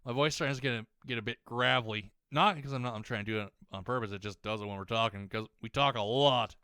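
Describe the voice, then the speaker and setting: A man's voice: mid-range voice, fast, bright, forceful tone, clean tone, no echo. Rambling, swearing young man gaming on a dry mic. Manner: rambling, swears a lot, many restarts. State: slightly hoarse voice that warms up. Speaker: gaming YouTuber. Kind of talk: rambling rant while playing a game.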